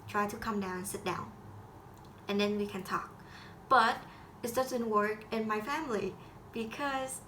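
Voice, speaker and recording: A teenage girl from Vietnam with a Vietnamese accent, speaking English as a second language in a halting school assignment talk full of restarts. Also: mid-range voice, noisy background. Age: teens. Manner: halting, with frequent restarts and grammar slips. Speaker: high school student.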